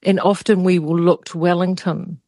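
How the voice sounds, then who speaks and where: A woman with a New Zealand accent hosting a relaxed conversation, talking reflectively with casual asides. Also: fairly low pitch, moderate pace, no echo. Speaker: radio podcast host.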